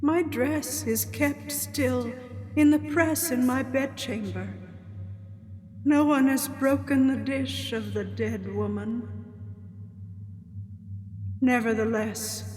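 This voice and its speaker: An actress playing a sorrowful dead woman returned as a prophetic spirit, speaking solemn verse in a slow, grave incantation in a radio drama. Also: on the high side, darkish tone. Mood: ominous, foreboding. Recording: long cavernous reverb with music.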